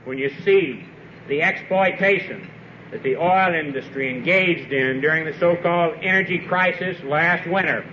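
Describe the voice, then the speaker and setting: A man's voice: a little high, moderate pace. A man speaking in an impassioned, exhortative political style, earnest and rhetorical. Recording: dull, noisy archival radio recording.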